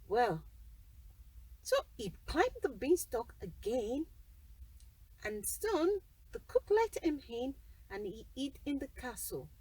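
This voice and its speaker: Female bedtime storyteller: high, husky, very slow and expressive, slightly off-mic. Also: no echo, distant mic, low-quality recording. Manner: deliberate, pausing between short phrases. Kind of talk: children's bedtime story narration.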